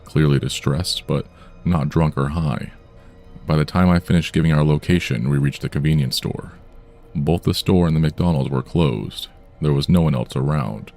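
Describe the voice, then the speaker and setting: A man with an extremely low, dark voice narrates true horror tales in a measured, somber way. His tone is mellow and heavy rather than bright. A faint music bed hums under his reading. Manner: measured, somber storytelling delivery.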